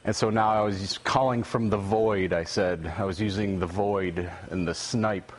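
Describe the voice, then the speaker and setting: A man's voice: on the low side, steady tone, moderate pace. A man answering interview questions in a dry, sardonic and somewhat hesitant manner. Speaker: interview guest, editor and prank caller. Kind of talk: interview recounting.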